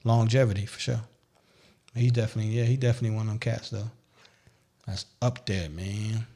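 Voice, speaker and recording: A man's voice: lowish voice, fairly even intonation, brisk, dark tone. A man hosting a podcast in casual, slangy chat, often repeating his phrases and checking that he is understood. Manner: slangy, repetitive, leaning on "you know what I'm saying". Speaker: podcast host.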